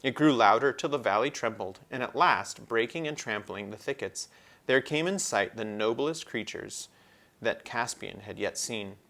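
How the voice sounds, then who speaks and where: A man's voice: medium pitch, animated, moderate pace, gravelly, a bit bright. A man narrating a children's storybook as a read-aloud. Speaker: storybook reader.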